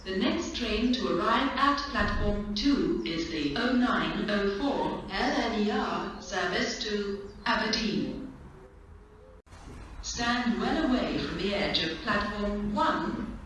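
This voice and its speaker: A woman makes scripted train announcements at a slow, deliberate pace, phrase by phrase. Her voice comes from a distant platform speaker, swimming in echo, with faint wind noise behind it. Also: mid-range voice, lively intonation, fairly bright tone. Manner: measured, list-like delivery in separate phrases. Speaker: railway station announcer. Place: railway station platform, over public address speakers. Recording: echoey station PA from afar, faint wind noise.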